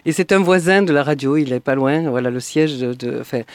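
A woman from France hosting a casual local radio interview, talking in a rambling, halting way. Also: very deep voice, a little mellow, no room sound. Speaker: radio host.

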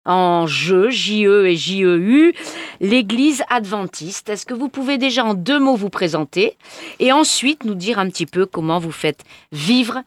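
A woman's voice: medium pitch, lively intonation, unhurried, no room sound. A woman hosting a radio interview, addressing her listeners and putting questions to a guest.

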